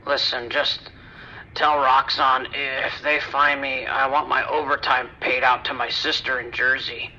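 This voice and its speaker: A trapped male worker with a very sharp, forceful voice over a dull phone line. He is dry, bitter and resigned, in a flat-pitched emergency-call performance. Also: unhurried. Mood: tense, bitter. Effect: phone or radio filter. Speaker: emergency caller. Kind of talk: audio drama, emergency call acting.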